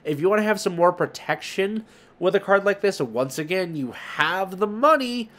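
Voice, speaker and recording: Higher-voiced man, emphatic casual commentator. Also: lively intonation, moderate pace, fairly bright tone. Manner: emphatic, rambling, self-correcting. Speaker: host of a trading card market video. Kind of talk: casual market commentary, unscripted.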